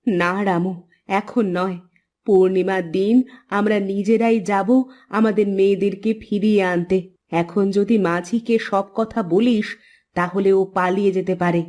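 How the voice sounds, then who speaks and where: A woman playing a mother longing for her children in an animated moral story, emotional and insistent. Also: mid-range voice, measured pace, close to an everyday voice. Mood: firm. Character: anguished mother longing for her lost daughters.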